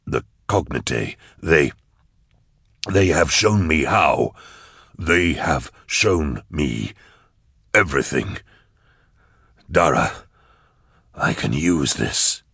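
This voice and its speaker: A man voicing a male Inquisitor in a trance, speaking in slow, halting, low words. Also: lively intonation, creaky, a bit bright, husky, dry recording, studio quality, full character voice. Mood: fixated. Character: gravel-voiced entranced male Inquisitor.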